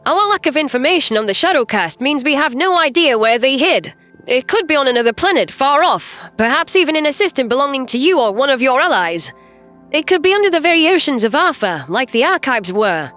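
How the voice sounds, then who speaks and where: A woman playing a composed human starship captain in a sci-fi audio drama, earnest, measured and persuasive. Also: sits high, very animated, fairly bright tone, soft background music. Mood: reasoned, firm. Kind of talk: audio drama character acting.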